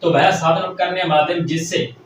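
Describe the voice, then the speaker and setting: A man with a fairly high voice lectures from across a very echoey room. He explains step by step as a technical teacher, often repeating himself and posing questions that he then answers. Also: fairly even intonation, moderate pace. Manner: repeats phrases, asks and answers own questions. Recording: very echoey room, distant mic, faint noise.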